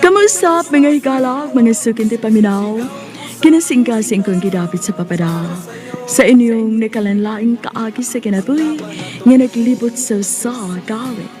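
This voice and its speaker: A woman's voice: on the high side, normal speed, mellow. A woman hosting a radio drama programme with scripted announcing. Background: music under the voice.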